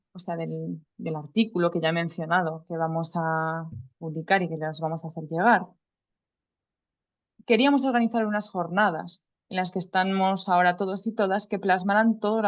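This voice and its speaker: A woman presenting a research project at an online seminar. She reads from a prepared text in a measured, formal way, with the odd casual aside. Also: fairly low pitch, lo-fi sound. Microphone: video call audio.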